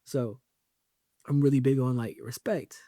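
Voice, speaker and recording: A man's voice: medium pitch, fairly even intonation, a little slow, warm tone, no room sound, studio quality. A young man hosting his own podcast, talking casually in a loose, joking way with lots of slang and fillers. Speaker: podcast host. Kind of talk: casual solo podcast talk.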